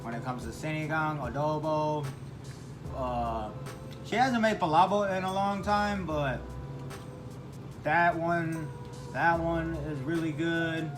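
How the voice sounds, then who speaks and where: A man from Michigan chatting casually on a food vlog, rambling and halting with lots of fillers as he explains dishes. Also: fairly high pitch, steady tone. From Michigan, United States. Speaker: vlog co-host. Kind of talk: casual mukbang chat. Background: background music.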